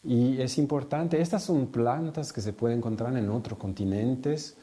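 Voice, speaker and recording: A male researcher speaking in a halting way, with many fillers and restarts. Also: medium pitch, dark tone. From Italy. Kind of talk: interview remarks.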